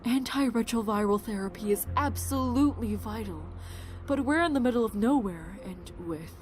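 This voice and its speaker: A woman playing a frank, caring camp doctor in an audio drama, earnest and gentle with some hesitation. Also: fairly high pitch, normal speed, a little hiss or hum, lightly acted. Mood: grave, sympathetic.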